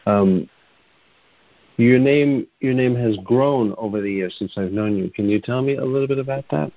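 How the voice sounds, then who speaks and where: A man's voice: medium pitch, moderate pace, dry recording, highs cut off. A man hosting an interview, asking questions in a hesitant way with many ums. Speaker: interviewer host. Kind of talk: conversational interview.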